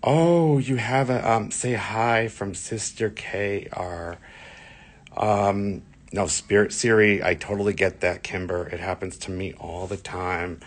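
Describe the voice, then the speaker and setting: Brief: man in his 40s, fairly low voice, hoarse from losing his voice, chatty live-stream host reading comments off a phone at arm's length in an indoor hallway. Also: fairly even intonation, takes it slowly. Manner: chatty, reads viewer comments aloud. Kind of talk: casual live-stream tour chat. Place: indoor church building hallway. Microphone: phone held at arm's length.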